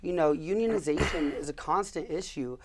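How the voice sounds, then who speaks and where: A young man hosting a public affairs interview show, relaxed and loose with fillers and repeated words. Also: a little high, fairly quick, over a noisy crowd. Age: young adult. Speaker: host.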